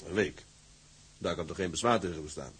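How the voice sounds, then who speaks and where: A male actor playing a resolute, stubborn tugboat captain in a drama, with a rough, husky voice and a firm, formal manner. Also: low-pitched, brisk, fairly bright tone, exaggerated voice. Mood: determined.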